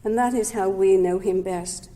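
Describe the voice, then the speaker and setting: A woman gives a measured, gentle Sunday talk in a warm, mellow voice. Her words trail into the long reverb of a hall around her. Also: medium pitch, fairly even intonation. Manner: measured and gentle, with occasional self-corrections. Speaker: speaker at a Sunday service. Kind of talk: prepared talk read aloud. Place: service hall.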